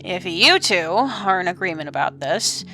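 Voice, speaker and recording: A woman plays an old griffin god-king in an audio drama. He is weary and exasperated, speaking with measured authority and a clear, forward edge, over music. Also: lowish voice, animated, sharp tone.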